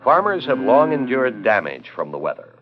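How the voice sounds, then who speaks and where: A man's voice: medium pitch, wildly swooping pitch, normal speed. An American man delivering scripted narration for an educational film. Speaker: documentary narrator. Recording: dull 1970s 16mm film soundtrack with music. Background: background music.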